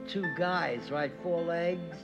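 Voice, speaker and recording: A man casually telling an animated anecdote. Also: fairly high pitch, takes it slowly, vocal fry, lo-fi sound. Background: music.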